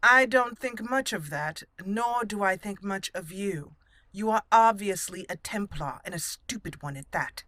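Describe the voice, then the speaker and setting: A woman voicing a haughty, stern elf mage in fantasy roleplay, measured and imperious. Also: medium pitch, expressive, fairly bright tone, no room sound.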